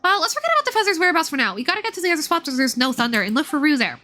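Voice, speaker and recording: A woman voicing a small, excitable girl fairy companion from a video game, chirpy, worried and curious. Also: sits high, very fast, voice pushed up, heavily acted. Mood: eager. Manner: refers to self in third person, chirpy.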